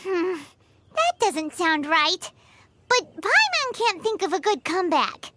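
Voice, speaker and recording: A woman voicing a tiny, cheeky, naive pixie companion in a video game, squeaky and childlike, with a chirpy manner. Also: big pitch swings, slow pace, heavy background noise, exaggerated voice. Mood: puzzled. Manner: chirpy, refers to herself in third person.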